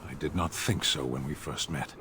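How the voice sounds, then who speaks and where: Huge stern warrior for a video game, extremely deep voice, deadpan and terse, faint noise. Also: male voice, normal speed. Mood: stoic, solemn. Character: stern, stoic male giant warrior soldier. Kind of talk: video game dialogue acting.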